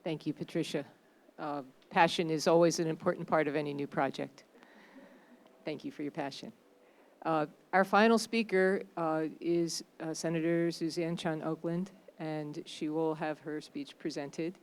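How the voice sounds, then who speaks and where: A woman moderating the session, thanking a speaker and introducing the next one with halting fillers. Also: low-pitched, steady tone, slight background noise.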